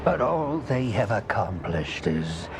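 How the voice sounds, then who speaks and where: An actor playing a smug, menacing grand master in a fantasy game, haughty and mockingly courteous in his deliberate delivery. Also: fairly low pitch, very animated, background noise, heavily acted. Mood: contemptuous. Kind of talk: dramatic fantasy game villain acting. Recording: clear music under the voice.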